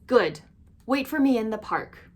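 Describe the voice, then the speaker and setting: A woman voicing a brave, quick-witted young girl in a storybook, crying out urgently and persuasively. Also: a little high, animated. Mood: brisk.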